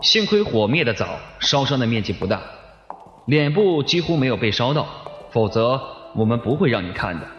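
A man's voice: mid-range voice, big pitch swings, normal speed, fairly bright tone, soft background music. A man playing a blunt, matter-of-fact police detective in a radio drama. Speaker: voice actor. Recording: dull lo-fi, noisy, reverberant hall.

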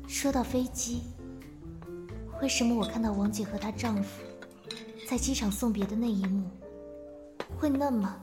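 A woman acting a polite, wistful young office worker in an audio drama, soft-spoken and hesitant. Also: a little high, normal speed, long pauses, over music, close to an everyday voice. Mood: puzzled.

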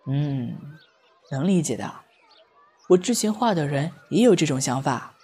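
A man's voice: a little high, very animated, unhurried, warm tone, breathy edge, full character voice. A man playing a guileless, blunt, socially oblivious teenage boy artist in an amateur audio drama. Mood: matter-of-fact.

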